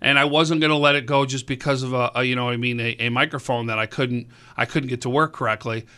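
Man, booming sports-radio regular, eager and rambling, bright forceful tone. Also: fast, smooth. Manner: excited, rambling, repeats words. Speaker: high school sports commentator and event organizer. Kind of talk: casual radio sports talk.

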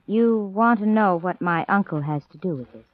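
Actress as a poised, animated young radio leading lady. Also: mid-range voice, moderate pace, no room sound, close to an everyday voice. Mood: matter-of-fact. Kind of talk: 1940s radio acting. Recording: dry, tinny 1940s radio recording.

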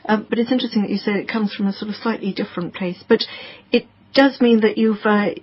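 A woman radio interviewer asking a poet questions in a quick, conversational way. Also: medium pitch, fairly even intonation, highs cut off, slight background noise.

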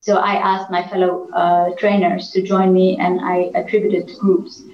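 A woman's voice: lowish voice, level tone, normal speed, slight background noise. A woman from Iran, a negotiation trainer and coach, giving earnest interview answers with frequent fillers and restarts. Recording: echoey, dull lo-fi room sound.

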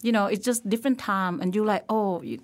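A middle-aged woman from Thailand with a Thai accent, a chef talking casually in an interview, pausing to search for words and laughing often. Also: medium pitch. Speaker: chef and restaurant owner.

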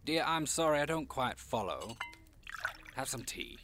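A man playing a genteel, hospitable and macabre devil-like gentleman in an audio drama, effusive and quaintly polite in a theatrical way. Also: medium pitch, lively intonation, a little slow, a little hiss or hum. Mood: delighted, hospitable.

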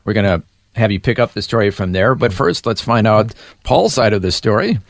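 A man's voice: lowish voice, expressive, a little fast, no room sound, clean studio sound. A man hosting an interview, attentive and encouraging, with frequent short reactions. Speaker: interviewer host. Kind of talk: conversational interview.

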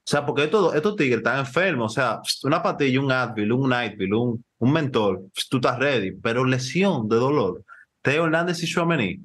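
A man co-hosting a football podcast, talking fast and excitably with lots of slang and jumping in over his co-host. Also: mid-range voice. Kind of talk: casual football chat.

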